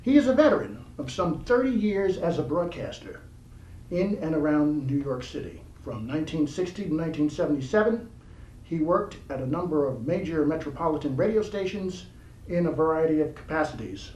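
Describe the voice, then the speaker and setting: A man's voice: on the high side, animated, brisk, darkish tone, a little hiss or hum. A man hosting an interview show, formally reading out his guests' credits in long lists. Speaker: interview host. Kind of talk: formal introductions for a talk show. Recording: echoey room, mic at a distance.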